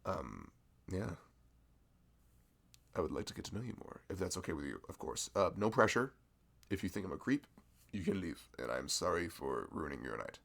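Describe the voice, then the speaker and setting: A man acts out a bashful young male florist in a casual audio roleplay. His voice is low and gravelly, yet it moves up and down with lively swings. He rambles sweetly and apologetically, flustered and putting himself down as he talks to the listener. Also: normal speed, long pauses, heavily acted. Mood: nervous, earnest.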